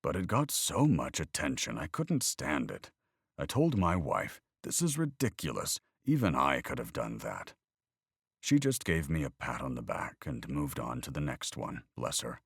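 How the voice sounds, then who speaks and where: A man reading an audiobook, voicing a weary older man who talks in a measured, reflective way. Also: a bass voice, very animated, vocal fry, slightly rough, dry recording, clean studio sound, heavily acted. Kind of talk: audiobook character voice.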